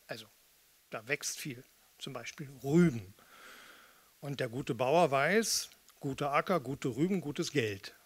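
A man in his sixties from eastern Germany talks from a stage between performances. He tells things casually and wryly, in halting, drawn-out explanations, speaking closely into a microphone. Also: mid-range voice, lively intonation, husky. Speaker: spoken-word performer and musician introducing pieces. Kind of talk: casual, storytelling stage introduction. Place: small theatre or cinema hall with red seats. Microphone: studio microphone on stand with pop filter, close.

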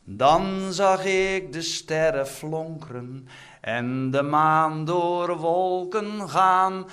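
A man's voice: a little high, a bit bright. A man performing poetry and quizzing in a theatrical, declamatory live manner. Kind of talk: dramatic live poetry recitation and quiz.

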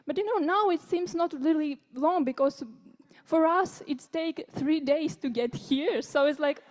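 A woman's voice: high voice, measured pace. A fifteen-year-old girl with a Ukrainian accent, a native Ukrainian speaker, giving a friendly, informal presentation to an audience. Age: 15, teenager. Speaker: youth speaker presenting at a conference. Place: conference room with seated audience.

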